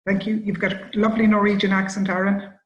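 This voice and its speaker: A woman's voice: mid-range voice, little pitch movement. Woman moderating a webinar in a roomy space. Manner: brisk, handing over between speakers. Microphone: video call. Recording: roomy, dull lo-fi call audio.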